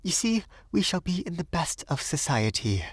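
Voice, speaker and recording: Male narrator as a young bohemian Paris woman, lifted pitch, soft, shy, hesitant, secretive, storybook delivery. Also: big pitch swings, moderate pace, no echo, heavily acted. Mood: timid, playful. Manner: hesitant, earnest, delicate. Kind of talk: audiobook character voice.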